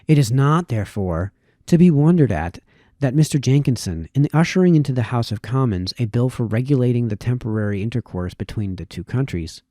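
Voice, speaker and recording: A man reads formal, old-fashioned prose aloud. His voice is mid-pitched and warm, with a relaxed, mellow tone. He phrases deliberately, occasionally tripping on a word, and the sound is dry with no room echo. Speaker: reader, host of a reading series. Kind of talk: reading aloud formal historical essays.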